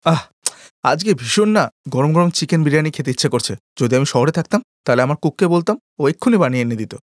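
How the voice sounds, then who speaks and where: Assertive city gentleman voiced by an actor, dry recording. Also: a little high, lively intonation, fast, no room sound, close to an everyday voice. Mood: casual requesting. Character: well-off city brother-in-law, demanding but generous man. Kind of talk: cartoon moral story acting.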